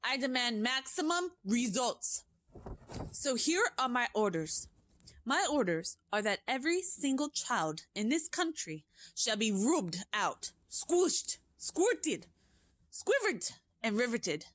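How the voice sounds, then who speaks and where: A woman's voice: a little high, sing-song, voice pushed up, exaggerated voice. A woman reading aloud and voicing a furious, tyrannical female witch leader who shrieks her orders and stretches out her words. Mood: shrieking rage. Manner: shrieking, loud, drawn-out words. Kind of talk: audiobook character voice.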